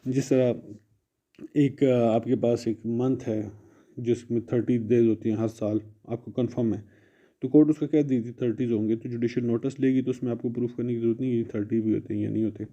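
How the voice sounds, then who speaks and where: A man's voice: medium pitch, fairly even intonation, fairly quick, dark tone. A man with a Pakistani accent giving an explanatory exam-prep lecture, switching between two languages and restating points in other words. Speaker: law lecturer. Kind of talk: exam-prep lecture mixing Urdu and English. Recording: muffled, dull lo-fi audio.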